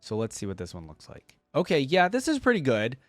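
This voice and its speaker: A man's voice: medium pitch, wildly swooping pitch, brisk, no echo, studio quality, soft background music. A man hosting a reaction channel, commenting casually and thinking aloud in an informal way, sometimes reading out text.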